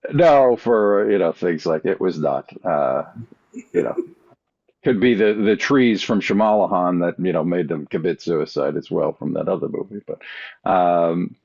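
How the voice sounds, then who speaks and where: A man in casual chat as a podcast panelist, rambling through halting questions and guesses. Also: deep voice, vocal fry, darkish tone. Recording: dull lo-fi audio.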